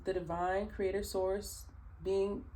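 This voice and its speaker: A woman with a raspy early-morning voice, chatting through a tarot reading with many fillers and asides. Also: medium pitch, level tone, takes it slowly, warm tone, a little hiss or hum. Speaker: tarot reader. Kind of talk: casual spoken tarot reading.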